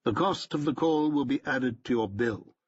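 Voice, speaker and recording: A man's voice: mid-range voice, moderate pace, breathy edge, muffled recording, lightly acted. A man voicing a pompous, stiff middle-aged barrister who talks in a haughty, clipped, precise way.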